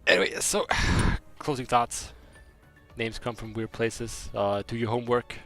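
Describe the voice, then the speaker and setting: A man's voice: mid-range voice, little pitch movement, unhurried, a bit bright, soft background music. A young man from Germany with a slight German accent, chatting casually on a game stream and rambling through a story with many restarts. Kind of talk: casual stream chat, reading chat.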